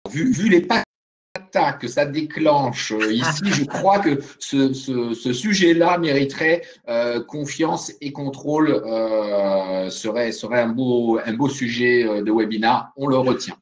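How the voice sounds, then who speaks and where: A man with an average voice moderates a live webinar over a video call. He hesitates often and fills pauses as he relays remarks from the audience. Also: medium pitch. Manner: hesitant, many "euh" fillers, reads out questions.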